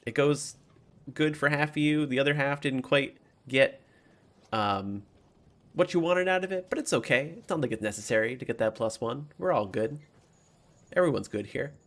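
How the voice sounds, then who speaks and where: A man's voice: medium pitch, moderate pace. A man speaking informally and chattily as a game master, narrating and commenting on a tabletop campaign.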